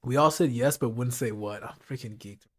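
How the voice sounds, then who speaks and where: A man running a late-night live stream, talking casually and directly to his chat, with his voice gone hoarse from hours of talking. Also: mid-range voice, normal speed. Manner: casual, chatty, talks straight to his chat.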